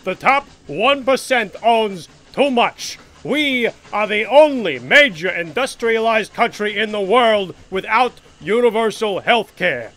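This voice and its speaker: A man's voice: high voice, expressive, unhurried, a bit bright. A man doing an impassioned old senator. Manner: speechifying, insistent. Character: impassioned older male progressive senator impression.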